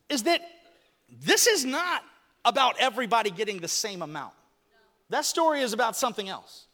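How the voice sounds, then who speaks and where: Joking male preacher, bright ringing tone, long hall reverb. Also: high-pitched. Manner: joking, animated, talks to people on stage. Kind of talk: lively sermon with audience volunteers.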